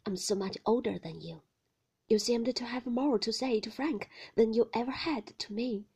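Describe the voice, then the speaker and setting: A woman reading a novel in a breathy whisper, voicing the lines of a troubled, guarded older husband. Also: on the high side, normal speed, a little mellow, exaggerated voice. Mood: hurt.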